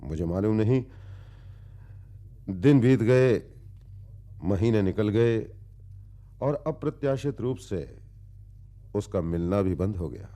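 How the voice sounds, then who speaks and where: A world-weary philosophical man in a radio play, recounting his past. His voice is low and dark, and he moves slowly and deliberately through formal phrases, pausing long, with very animated pitch swings. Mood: brooding, wistful. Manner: brooding, deliberate, with long pauses. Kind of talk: dramatic radio-play storytelling in formal literary diction.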